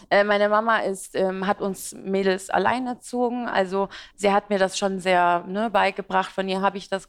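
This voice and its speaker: A woman's voice: medium pitch, smooth. A woman in her twenties, born in Iraq with Aramaic as her first language, answering interview questions as a blogger in a talkative, rambling way with fillers. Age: 20s. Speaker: beauty and fashion blogger, guest.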